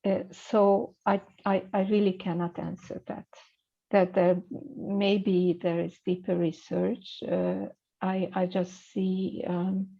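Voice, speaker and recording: A woman's voice: mid-range voice, little pitch movement, takes it slowly, creaky, lo-fi sound. A woman speaking as a scholar in an interview, hesitant and thoughtful, full of fillers and restarts. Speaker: scholar guest. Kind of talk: conversational interview.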